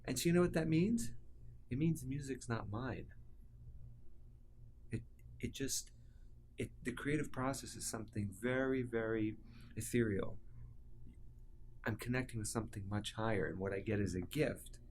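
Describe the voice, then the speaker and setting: A man who is a composer reflecting candidly in an interview, thinking aloud in an animated, halting way. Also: medium pitch, a little hiss or hum.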